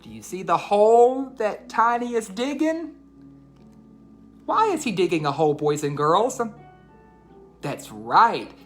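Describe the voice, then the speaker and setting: A woman's voice: medium pitch, very animated, unhurried, pauses often, faint music behind. A woman hosting a children's read-aloud, narrating a storybook in a teacherly way and putting questions to listeners.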